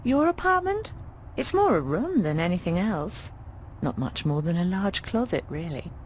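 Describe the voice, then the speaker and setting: A theatrical low-voiced landlady. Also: sing-song, moderate pace, slight background noise. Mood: wry, teasing. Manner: theatrical, drawling, calls people darling. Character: worldly, teasing middle-aged landlady, breezy and wry. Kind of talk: video game dialogue acting.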